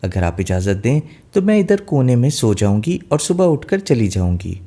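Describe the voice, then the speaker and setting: A man's voice: mid-range voice, big pitch swings, moderate pace, dark tone. A man playing a hardworking, obedient young girl in a storybook tale, polite and willing. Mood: humble request.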